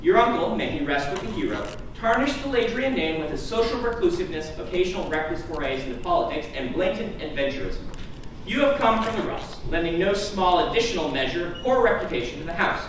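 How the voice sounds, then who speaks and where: A man's voice: high voice, expressive, brisk. A man voicing a stern, exacting, humorless young noblewoman in a formal, clipped way, reading from a book to listeners. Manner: formal, clipped, matter-of-fact. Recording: noisy, echoey room from far off.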